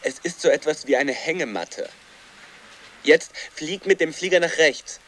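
A man's voice: a little high, unhurried, a bit bright. An actor playing a desperate man trapped inside a computer game, pleading urgently in a radio drama.

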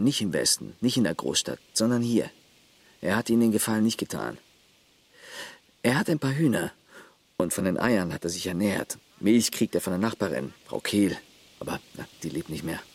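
Radio play: terse villager, husky, lively intonation, dry studio. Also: male voice, fairly low pitch, normal speed, no echo. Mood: storytelling. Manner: terse, matter-of-fact, lists points. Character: Jo, village man caring for grandfather, blunt.

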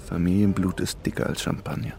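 An actor playing a probing, quietly amused confidant in a radio drama, measured and teasing. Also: male voice, sits low, little pitch movement, vocal fry, a little hiss or hum. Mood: teasing, knowing. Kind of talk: naturalistic radio drama dialogue.

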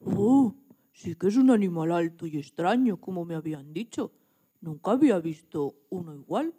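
A woman's voice: on the low side, lively intonation, normal speed, warm tone, breathy edge. A woman playing a plucky, wondering little boy pig in a children's radio play. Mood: curious, friendly.

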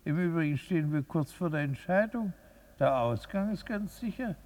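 A man's voice: a little high, animated, moderate pace, darkish tone, natural voice. A man acting a placating, evasive lawyer in a 1950s radio drama, formal and courteous in an officious way.

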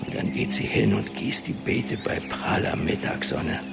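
A man's voice: fairly low pitch, lively intonation, measured pace, vocal fry, over loud music, full character voice. An actor playing a nosy old male neighbour, muttering disapprovingly to himself in a radio drama. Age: older. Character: grumbling, nosy old male neighbour watching from his balcony. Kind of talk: radio drama inner monologue.

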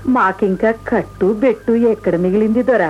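An actress playing a bold, wheedling dancer and elder sister in a radio play, with arch, coaxing, mock-humble theatrical delivery. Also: female voice, mid-range voice, fairly quick, slightly rough, faint music behind, lightly acted. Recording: dull old radio play recording.

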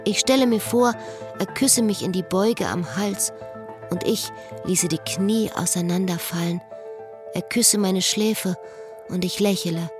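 A woman's voice: medium pitch, unhurried, music in the background, lightly acted. An actress playing a lovesick, restless woman who speaks her inner thoughts in a radio drama. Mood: intimate, brooding.

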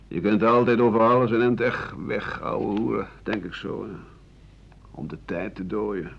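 An actor playing a bitter, cynical old French doctor and writer in a radio play, rambling and scornful with muttered asides. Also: male voice, mid-range voice, vocal fry. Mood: weary, mocking. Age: older. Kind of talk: radio play monologue in interview form. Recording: muffled, noisy 1980 radio drama recording.

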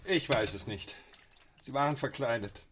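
A man's voice: medium pitch, normal speed, a bit bright, slight background noise, close to an everyday voice. A man acting in a radio play as an evasive, defensive husband, speaking in a terse, guarded manner.

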